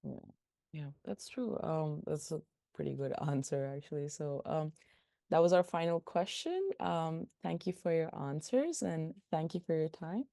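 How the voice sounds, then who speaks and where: Young woman host, deep and creaky, mellow dark tone, hesitant questioning with lots of fillers. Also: expressive, measured pace, no echo, clean studio sound. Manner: hesitant, many fillers, soft laughs. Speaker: interviewer. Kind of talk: interview hosting.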